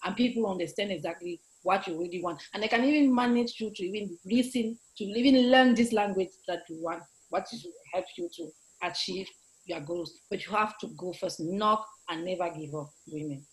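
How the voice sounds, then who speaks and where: A middle-aged woman, a native French speaker, talks into a webcam from home. She speaks with force and urges the listener on, gesturing as she goes. Her pitch sits in a middle range. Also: normal speed, poor audio. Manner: emphatic, gestures a lot, exhorting. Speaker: guest speaker, entrepreneur. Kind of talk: motivational talk on a video call. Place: home room, indoors. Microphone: video call webcam.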